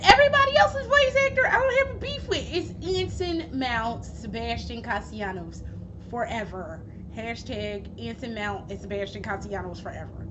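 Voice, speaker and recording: A woman vlogging at home, hoarse from losing her voice, rambling casually with plenty of swearing and fillers. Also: on the high side. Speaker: gaming vlogger. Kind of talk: casual chat. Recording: dull lo-fi recording with steady background noise.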